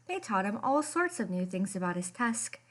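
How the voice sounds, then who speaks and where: A woman reading a children's picture book aloud as expressive storytime narration. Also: mid-range voice, normal speed.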